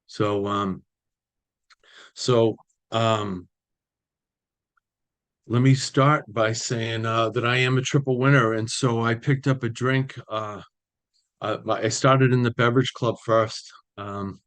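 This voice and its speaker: A man, sharing his recovery story at a meeting over a video call, halting with many fillers. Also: mid-range voice, fairly even intonation, a bit bright. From Boston area, US. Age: 60s. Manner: halting, many ums and you-knows, restarts. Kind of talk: personal recovery share.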